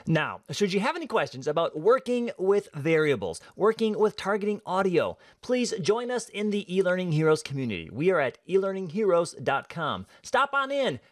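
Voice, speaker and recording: A man with a fairly high voice and very animated intonation presents a software tutorial. He explains things step by step in a casual, conversational way. The recording is close and dry, like a voice-over. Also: moderate pace, hardly any pauses, dry recording.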